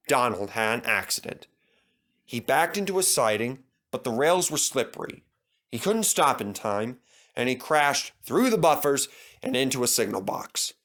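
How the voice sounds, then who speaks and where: A man's voice: mid-range voice, fairly bright tone. A man narrating a scripted children's story.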